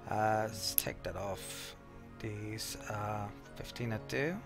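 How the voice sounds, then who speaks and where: A man's voice: lowish voice, fairly even intonation, slow pace, gravelly, music in the background. A man giving casual gameplay commentary as a let's play host, thinking aloud in a hesitant, rambling way.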